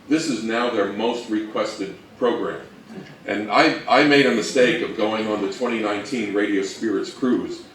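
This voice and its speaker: A man giving an informal, conversational presentation, explaining things and posing rhetorical questions as a co-presenter. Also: fairly low pitch. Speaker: co-presenter and old-time radio researcher. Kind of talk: informal slide presentation talk. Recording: echoey room, distant mic, faint noise.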